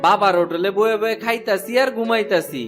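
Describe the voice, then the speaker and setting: A man's voice: high-pitched, fairly quick, fairly bright tone, faint music behind. A man playing a lazy, carefree young village son in a cartoon, whining and complacent, with an eastern Bengali accent. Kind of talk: cartoon folk-tale voice acting.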